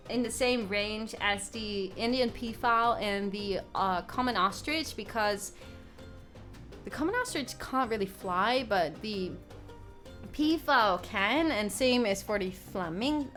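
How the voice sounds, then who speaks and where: A young woman from the Netherlands with a Dutch accent, chatting excitedly and giggling on a gaming live stream as she reads out viewers' comments. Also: on the high side. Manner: excitable, giggly, reading chat aloud. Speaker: gaming livestreamer. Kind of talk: casual live stream chat.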